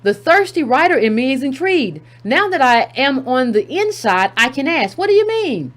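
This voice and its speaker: A woman's voice: sits high, sing-song, normal speed, a bit bright. A woman hosting a commentary channel, reading a text aloud and breaking in with emphatic asides, repeating key phrases for effect.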